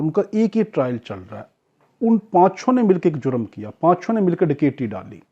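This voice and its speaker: The recording is dull and lo-fi. A Pakistani man with a very mellow, slightly high voice delivers a lecture. He explains step by step in a didactic way, putting questions and then answering them himself. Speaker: law teacher, lecturer. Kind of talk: explanatory lecture with acted-out examples.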